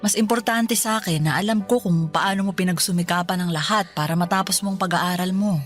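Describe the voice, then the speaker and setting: A woman's voice: on the low side, a little fast, faint music behind, natural voice. Tender single mother, as a woman plays her in a radio drama. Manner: tender, gently insistent. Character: caring, hardworking single mother, middle-aged.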